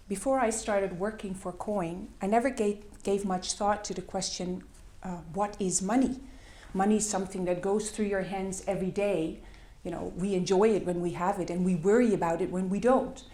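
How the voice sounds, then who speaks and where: Woman, Dutch accent, middle-aged, conference talk, lowish voice, hesitant with fillers. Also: dark tone, slight background noise. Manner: hesitant, many fillers, self-deprecating. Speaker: conference speaker. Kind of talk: presentation with slides. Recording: echoey hall.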